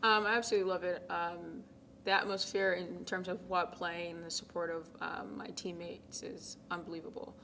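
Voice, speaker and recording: A young woman from Ghana, a college tennis captain, answering interview questions in a soft, casual way with many ums, sometimes dropping to a near whisper. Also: fairly low pitch, level tone, moderate pace, vocal fry, hardly any pauses. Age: young adult. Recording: noisy background.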